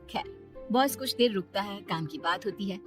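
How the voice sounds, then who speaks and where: A woman's voice: medium pitch, lively intonation, normal speed, music in the background. A woman storyteller narrating a scripted family moral story.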